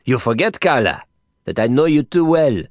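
A man voicing a rude, provocative man in his thirties, loud, blustering and mocking. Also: mid-range voice, very animated, normal speed, no room sound, studio quality, voice pushed up, natural voice.

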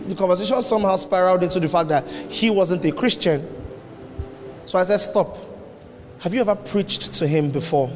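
A man preaching a sermon as pastor, emphatic, his voice jumping up into high exclamations. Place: church hall. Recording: long hall reverb, faint noise.

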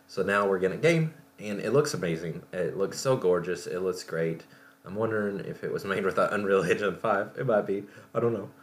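A man hosting a podcast, talking casually about gaming news in a halting, rambling way, as if thinking aloud. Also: low voice. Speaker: podcast host. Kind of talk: casual solo show talk about video game news.

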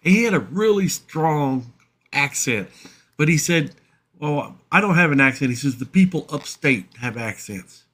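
A man's voice: a little high, lively intonation, normal speed, a bit bright. A man with a Kentucky accent co-hosting a casual live chat show, easygoing and agreeable, laughing often. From South Central Kentucky. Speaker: co-host.

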